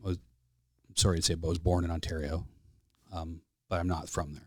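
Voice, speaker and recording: Low-pitched Canadian man telling stories. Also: flat delivery, a little fast, dry recording, clean studio sound. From Alberta, Canada. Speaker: podcast guest, flight service specialist. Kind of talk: casual conversational storytelling. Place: podcast studio.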